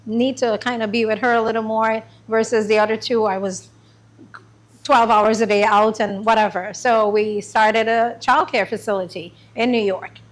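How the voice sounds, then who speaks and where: A middle-aged woman from Trinidad and Tobago giving a chatty, informal talk about her business to a small group, with many pauses and ums. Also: on the high side, fairly even intonation. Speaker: small business owner presenting. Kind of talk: informal pitch presentation. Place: meeting room.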